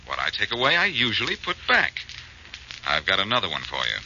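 An American actor playing a cool, self-assured, wisecracking amateur detective in an old-time radio drama. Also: male voice, on the low side, wildly swooping pitch, a little fast, sharp tone, full character voice. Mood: teasing, confident. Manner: glib, self-assured, teasing. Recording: noisy, muffled vintage radio recording.

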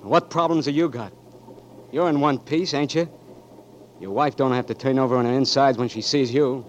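A man's voice: mid-range voice, moderate pace, muffled recording, lightly acted. An actor playing a young Marine veteran who has lost his sight, talking tough and streetwise in 1940s radio-drama style, on a noisy old broadcast recording. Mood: bitter. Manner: tough streetwise slang, gruff. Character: young blinded Marine veteran, bitter and scared.

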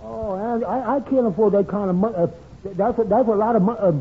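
An actor playing a meek, easily rattled barber who stammers heavily and abandons sentences, in old radio comedy style. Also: male voice, high voice, steady tone, fast, slightly rough. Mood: flustered. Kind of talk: 1940s radio comedy acting. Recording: scratchy, tinny old-time radio recording.

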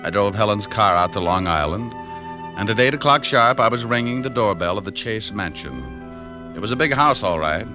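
A suave hardboiled American detective, played by a man in an old radio show. His voice is on the low side, wry and unhurried, full of wisecracks. Music plays beneath the broadcast. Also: lively intonation, natural voice. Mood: wry narration. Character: suave, quick-witted, wisecracking private detective. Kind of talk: old-time radio detective acting and narration.

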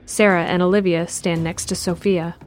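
A woman with a lowish voice narrates a scripted drama in an even, descriptive manner. Faint music plays beneath her reading. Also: moderate pace.

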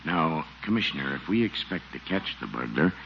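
Low-voiced small-town police chief. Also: male voice, very animated, a little fast, gravelly, heavily acted. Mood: hushed, placid. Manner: folksy, placid officialese. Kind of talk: 1940s radio comedy acting. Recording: muffled, noisy 1940s radio recording.

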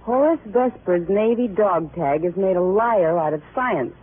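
An actress playing a wry, brisk police laboratory scientist with dry, precise delivery in a 1950s radio drama. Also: female voice, mid-range voice, expressive, slightly rough. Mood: wry, intent. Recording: phone-like old radio broadcast.

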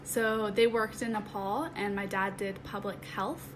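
A teenage woman talks as a podcast guest in a friendly, hesitant way, often pausing on "um." Her pitch sits in the middle range, and steady background noise is clearly heard. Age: teens. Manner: friendly, hesitant, many ums. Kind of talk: casual chat.

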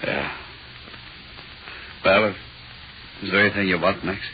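A deep-voiced, awkward American cop. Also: male voice, fairly even intonation. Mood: uneasy sympathy. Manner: halting, awkward, slangy. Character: gruff male police detective, awkwardly sympathetic to prisoner. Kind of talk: 1940s radio drama acting. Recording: muffled, hissy 1940s radio recording.

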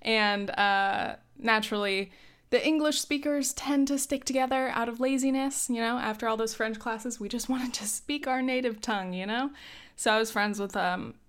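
A young woman in her twenties chats through advice in a relaxed, rambling way, with frequent fillers and light laughter. Her voice sits fairly high and sounds smooth and clear, though a touch hoarse from coughing. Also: moderate pace. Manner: chatty, lots of "like" and "um", laughs often. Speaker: advice host. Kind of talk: casual advice chat.

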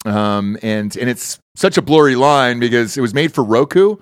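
A man's voice: medium pitch, lively intonation, normal speed, no room sound, studio quality. A man hosting a podcast in casual, blunt, profane chat, asking plenty of questions. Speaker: podcast host.

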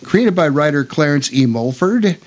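An older man co-hosting a radio talk show, chatting casually and reading bits aloud in a halting way. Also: fairly high pitch, expressive, muffled recording. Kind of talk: casual chat about old radio westerns, some reading aloud.

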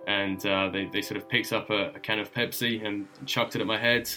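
Hesitant young man, lowish voice. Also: flat delivery, fairly quick, pushed and bright, soft background music, rough recording. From born in UK, raised in Germany and Australia. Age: young adult. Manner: hesitant, with frequent ums and repeated words. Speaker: guest explorer. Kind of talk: casual interview storytelling.

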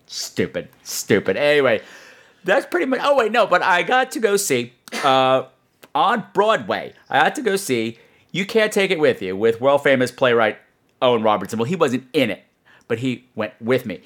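A man with a very animated delivery hosts a show as a casual monologue. He rambles like a comic storyteller, tossing in sarcastic asides and salty language. His pitch moves around freely. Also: moderate pace. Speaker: podcast host.

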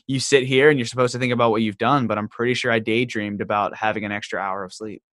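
A man's voice: mid-range voice, fairly even intonation, fast, fairly bright tone, dry recording, studio quality. A man in his late twenties from Virginia, hosting a podcast with chatty, joking storytelling. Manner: chatty, jokey storytelling with lots of "like". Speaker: podcast host. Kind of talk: casual podcast chat.

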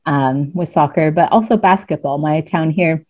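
A woman with a low, deep voice and an accent that blends American and British sounds. She speaks thoughtfully, often pausing to search for words. Her voice comes through a thin, phone-like remote call line. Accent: mixed American and British. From United States. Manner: thoughtful, hesitant with frequent ums. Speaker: guest, coach. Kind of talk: casual interview chat. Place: at home near Cardiff.

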